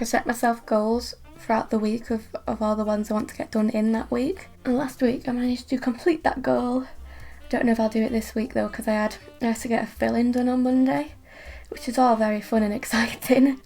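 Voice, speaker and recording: Young northern english woman rambling about her painting, kinda croaky, gravelly edge, slightly high voice. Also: darkish tone. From England. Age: young adult. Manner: chatty, self-deprecating, rambling asides. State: croaky from just waking up, retainers in. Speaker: art vlogger. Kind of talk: casual chatty voiceover and to-camera talk.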